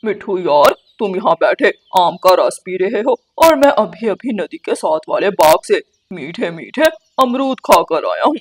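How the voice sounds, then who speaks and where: A woman plays a male crow trickster in a children's cartoon. He is boastful and scheming, with a mid-pitched voice and a sly, taunting delivery that needles whoever he talks to. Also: very animated, a little slow, hardly any pauses, husky, low-quality recording. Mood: sly, coaxing. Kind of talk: Hindi children's cartoon dialogue.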